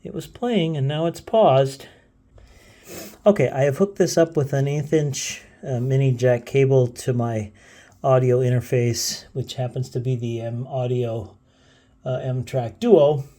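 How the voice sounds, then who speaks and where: Man, gadget video host, soft mellow tone, medium pitch, unscripted, hesitant, thinking out loud. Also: fairly even intonation, unhurried. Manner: hesitant, thinking aloud as he fiddles. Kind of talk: casual unscripted product demo.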